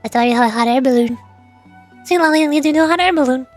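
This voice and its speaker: A woman's voice: sits high, fast talker, soft background music. A woman streaming a video game, chatting in a playful, cutesy manner as she comments along.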